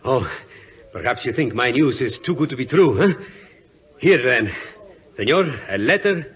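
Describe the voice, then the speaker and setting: An actor playing a courteous, earnest colonel with a ceremonious manner in an old radio drama. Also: male voice, medium pitch, expressive, unhurried, a bit bright. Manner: formal, ceremonious. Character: courteous, earnest Spanish colonel bearing news. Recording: dull vintage radio recording.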